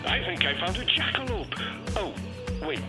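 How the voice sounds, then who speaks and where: An actor playing a jumpy, good-natured forest ranger dad, hesitant and sheepish, through a two-way radio. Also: male voice, sits high, sing-song, moderate pace, music in the background. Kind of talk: audio drama acting.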